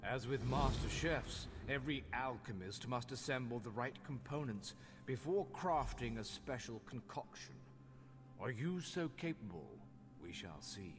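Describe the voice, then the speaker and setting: An actor voicing a haughty, scholarly master craftsman in a fantasy video game, speaking in a formal, measured, instructional way. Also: medium pitch, lively intonation, close to an everyday voice. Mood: instructive. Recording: long hall reverb, faint music behind.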